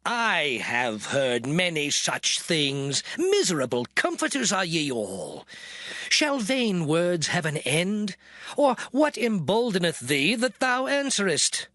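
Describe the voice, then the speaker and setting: A man's voice: sits high, big pitch swings, bright, forceful tone, no echo, exaggerated voice. An actor playing an anguished, suffering man lamenting in a dramatized Bible audio drama, declaiming mournfully in old King James English. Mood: bitter, reproachful. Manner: declamatory, mournful, sweeping delivery with long pauses. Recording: music behind the voice. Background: dramatic music.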